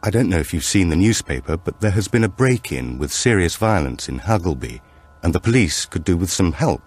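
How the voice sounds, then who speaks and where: A man's voice: deep voice, sing-song, fairly quick, darkish tone, breathy edge, full character voice. A man voicing a polite, businesslike policewoman asking questions in an audiobook. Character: brisk, polite policewoman making enquiries.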